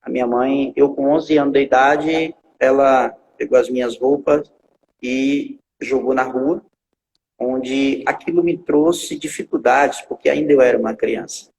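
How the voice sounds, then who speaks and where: Man, 40s, gravelly voice, slightly high, halting with fillers, live-stream guest, long hall reverb. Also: fairly even intonation, darkish tone, slight background noise. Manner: halting, with many filler pauses and repetitions. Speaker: guest pastor. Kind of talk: telling his life story in a live stream.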